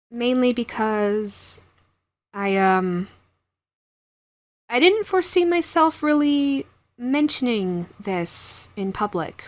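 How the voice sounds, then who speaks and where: A woman who hosts an audio roleplay channel, talking casually and directly to her viewers. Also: medium pitch.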